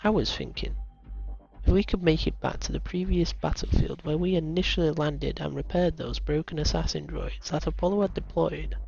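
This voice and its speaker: A man's voice: a little high, steady tone, moderate pace, creaky, a little mellow, husky, soft background music. A man playing a bold young lieutenant who is earnest and persuasive, on a dull lo-fi recording. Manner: earnest, rousing speech-giving.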